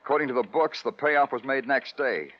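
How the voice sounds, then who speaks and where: An American actor playing a weary, brooding New York police detective in an old radio crime drama, terse and sardonic. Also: male voice, mid-range voice, fairly quick, a little hiss or hum, natural voice. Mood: wry, businesslike. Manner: terse, sardonic, poetic in narration. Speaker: lead actor and narrator. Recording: muffled vintage radio recording, music behind.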